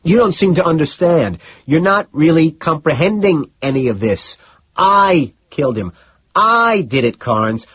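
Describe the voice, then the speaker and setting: Guy acting a desperate unhinged businessman, husky and strained, pitch jumps around, dullish dry sound. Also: wildly swooping pitch, normal speed, dry recording, highs cut off, full character voice. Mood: desperate, insistent. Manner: insistent, emphatic, strained.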